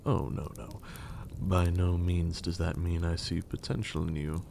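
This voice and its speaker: A man playing a haughty, teasing gentleman vampire in an intimate ASMR roleplay, speaking formally in a breathy half-whisper with condescending endearments. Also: low-pitched, little pitch movement, unhurried, slight background noise. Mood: musing, condescending.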